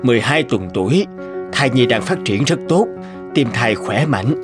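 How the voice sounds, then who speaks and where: Calm, deliberate middle-aged doctor , man acting. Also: medium pitch, over music, natural voice. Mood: reassuring. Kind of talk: Vietnamese cartoon voice acting.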